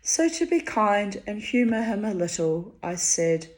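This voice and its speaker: A Hungarian-born woman reading her own diary entries aloud, wry and theatrical, her voice swinging high and low for effect. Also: big pitch swings, a little slow. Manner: wry, theatrical, dramatic swings of pitch and loudness.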